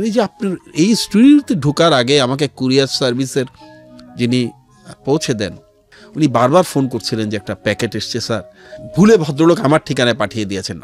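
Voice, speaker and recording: A Bangladeshi man with a fairly high voice speaks in an earnest, explanatory way, as a guest telling his story on radio. His delivery swings widely in pitch and slips into English phrases, with music behind him. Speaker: interview guest, founder of a charity resale platform. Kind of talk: conversational storytelling in a radio interview. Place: radio studio.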